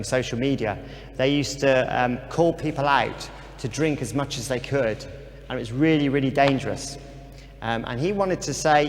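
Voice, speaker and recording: A man gives an informal, earnest talk about faith and kindness. He speaks at a middle pitch in a loose, unhurried way, often pausing on "um". His voice carries a long reverb from a big hall. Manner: relaxed, many ums, earnest.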